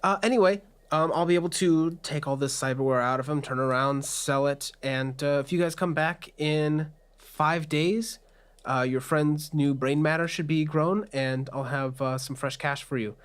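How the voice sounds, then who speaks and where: A man's voice: a little high, fairly even intonation, moderate pace, clean tone, natural voice. A man playing an eager, amoral back-alley cybernetics surgeon with a blithe, hesitant salesman's patter in a tabletop game. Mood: blithe, businesslike.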